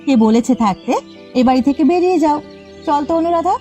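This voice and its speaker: Woman voicing a high-pitched brash young village sister. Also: animated, a little fast, dark tone, highs cut off. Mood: scornful. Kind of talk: cartoon dialogue. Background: faint music.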